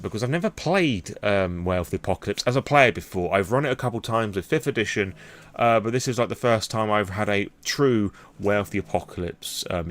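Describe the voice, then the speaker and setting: Low-voiced British man, rambling and candid. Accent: British English. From England. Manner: rambling, candid, self-deprecating, sweary. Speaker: YouTube vlogger on tabletop roleplaying games. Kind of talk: impromptu casual vlog talk.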